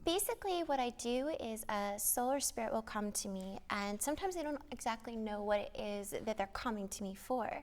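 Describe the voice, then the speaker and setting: A woman's voice: on the high side, lively intonation, brisk, hardly any pauses. A woman interviewed as an angel reader, explaining her practice in long run-on sentences.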